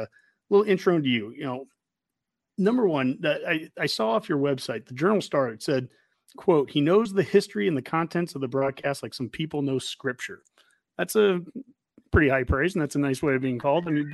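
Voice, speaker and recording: Higher-pitched American man hosting a podcast. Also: no room sound. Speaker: podcast host. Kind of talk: casual conversational hosting.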